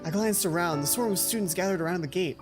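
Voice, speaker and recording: A woman's voice: fairly low pitch, fast. A woman reading a game script aloud and breaking off into playful, casual asides as a let's play streamer. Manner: playful, self-interrupting asides. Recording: music playing behind voice. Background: video game music.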